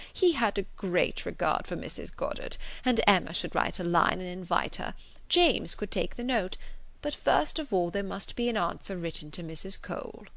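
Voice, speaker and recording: A woman voicing a fretful elderly gentleman, an anxious invalid father who fusses and pleads gently, in an audiobook. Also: on the low side, vocal fry, no echo, exaggerated voice.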